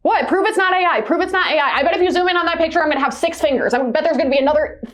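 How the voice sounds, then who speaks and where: High, fast, indignant young woman. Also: a bit bright. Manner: indignant, repetitive, casual filler-heavy slang. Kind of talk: heated argument.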